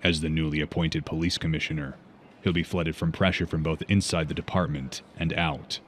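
A man's voice: rumbling low, steady tone, a little fast, a little hiss or hum. A man voicing a brooding, stern masked vigilante in a comic fan dub, terse and grave. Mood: grim, commanding. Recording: music bed behind.

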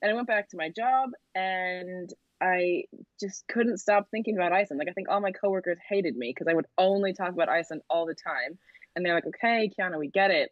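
A woman's voice: medium pitch, dry recording, lo-fi sound. A young woman from the United States, a guest on a video call, chatting casually with frequent laughs and lots of "like". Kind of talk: casual interview chat. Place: room at home by a window.

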